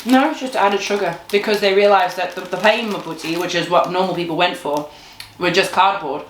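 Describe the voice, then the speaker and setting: A woman's voice: fairly low pitch, steady tone, brisk. Zimbabwean woman chatting in an echoey room. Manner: chatty, laughs often, nostalgic. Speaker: vlogger. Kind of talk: casual chat. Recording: echoey room, faint noise.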